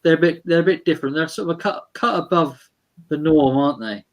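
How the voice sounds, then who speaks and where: A man with a British accent in a relaxed, informal conversation about collecting records. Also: fairly high pitch, quick pace. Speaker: record collector on a chat show. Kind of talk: casual chat about vinyl records.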